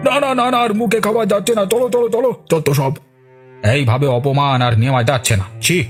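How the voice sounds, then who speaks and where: A man playing an excitable, easily offended village man in a children's cartoon. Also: a little high, fast, soft background music. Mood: indignant. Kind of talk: Bengali children's cartoon dialogue.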